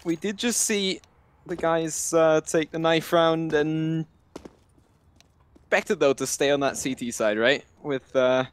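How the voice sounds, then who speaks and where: A male esports caster talks through a live match in a chatty, off-the-cuff way. His voice sits fairly high for a man, and he thinks aloud and corrects himself mid-sentence. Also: steady tone, takes it slowly, clean tone.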